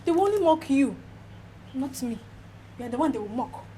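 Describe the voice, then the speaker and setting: An actress playing a defiant, scheming wife in a film drama, sharp-tongued and insistent, with a Nigerian accent. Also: female voice, high-pitched, lively intonation, brisk. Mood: defiant, demanding. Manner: scornful, insistent. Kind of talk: Nollywood film drama acting. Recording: clear background noise, location ambience.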